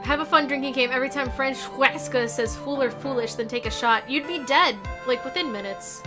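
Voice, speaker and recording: A woman's voice: high-pitched, a little fast. A young woman streaming a game, chatting casually and joking about herself to her viewers. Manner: chatty, joking, self-deprecating. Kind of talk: casual gaming stream chat. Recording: game music playing loudly under voice.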